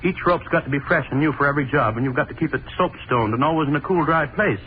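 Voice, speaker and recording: An actor playing an eager, servile job-seeker, deferential and hesitant, in a 1930s radio drama. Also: male voice, fairly high pitch, fast talker, close to an everyday voice. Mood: eager, nervous. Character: eager, servile younger man seeking hangman's job. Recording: scratchy, muffled 1930s radio recording.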